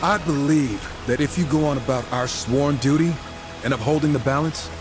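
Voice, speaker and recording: A man's voice: a little high, normal speed, close to an everyday voice. A man playing a cynical, wisecracking horseman and reformed killer in a video game, talking in a sardonic, informal way. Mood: wry. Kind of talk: game cutscene dialogue. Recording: music behind the voice.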